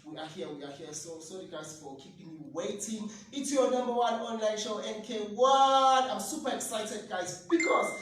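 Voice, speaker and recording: An energetic South African man with a Zulu-speaking accent hosts a lively online talk show. His voice is hypey and upbeat. It is heard from a little way off in a very echoey room with light noise underneath. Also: sits high, moderate pace, few pauses, far from the mic. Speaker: host.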